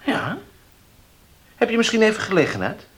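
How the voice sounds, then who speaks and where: An actor in a Dutch radio play playing an evasive young gentleman, hesitant and hemming as he coaxes. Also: high-pitched, big pitch swings, moderate pace, slightly rough, full character voice. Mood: polite. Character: evasive, wheedling young gentleman, master's son. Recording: noisy old radio play recording.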